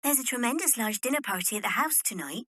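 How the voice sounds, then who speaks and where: A woman's voice: a little high, lively intonation, fast talker, fairly bright tone, slightly rough, no echo. A woman acting a gossipy, unpolished fifteen-year-old girl from a servant family in an audio drama, blunt and chatty.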